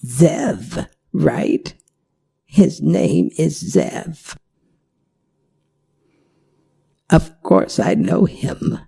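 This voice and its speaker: A woman playing a worried, gentle older werewolf mother in an ASMR roleplay, speaking tenderly and dramatically to the listener in a gravelly, breathy voice. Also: sits low, expressive, very slow, full character voice. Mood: concerned, probing. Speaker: voice actor.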